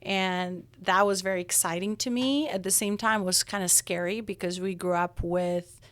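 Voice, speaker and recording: A woman's voice: lowish voice, fairly even intonation, moderate pace, fairly bright tone, no echo. A middle-aged woman from Albania with an Albanian accent, a podcast guest talking earnestly with frequent ums and restarts. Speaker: guest, escrow company owner. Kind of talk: interview conversation.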